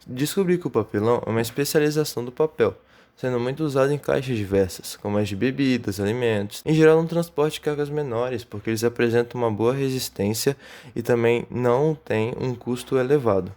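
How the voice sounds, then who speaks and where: A man's voice: mid-range voice, fairly quick, a little mellow, natural voice. A man playing an eager, studious Brazilian student in an amateur radio drama, explaining things earnestly.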